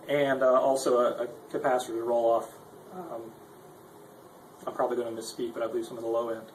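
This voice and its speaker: A man giving an informal, unscripted gear demonstration in a rambling, self-deprecating, conversational way, as the presenter of a guitar tutorial. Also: medium pitch. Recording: echoey room with faint noise.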